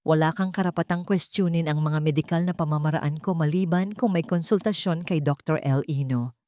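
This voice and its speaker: A woman voicing a cold, steadfast young former housemaid in a Tagalog story, speaking in a firm, measured way. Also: sits low, mellow, dry recording, clean studio sound, natural voice. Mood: firm, demanding.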